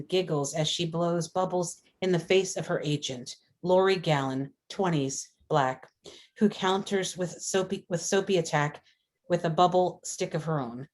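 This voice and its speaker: A woman's voice: on the low side, darkish tone. A woman reading stage directions and scene descriptions as scripted narration at a table read.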